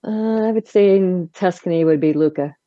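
A woman's voice: lowish voice, moderate pace, mellow, no echo, highs cut off. A woman who advocates for veterans, giving brief, plain answers in an interview.

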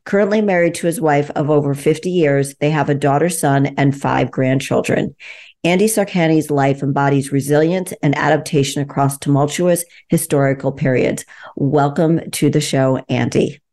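Low-voiced woman hosting an interview. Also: normal speed, a little mellow. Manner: earnest, clarifying questions. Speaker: podcast host. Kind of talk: interview host.

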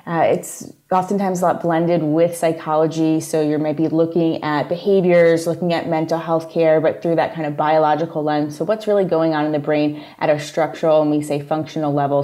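A woman with a New York accent, a guest psychologist explaining her field in a relaxed interview. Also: sits low, fairly quick, hardly any pauses. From New York area, USA. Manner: explanatory, steady, plain-spoken. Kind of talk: casual interview conversation.

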